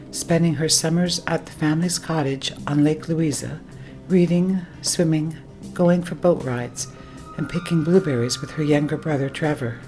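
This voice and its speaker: A woman hosting a radio story show, reading a measured, formal introduction. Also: deep voice.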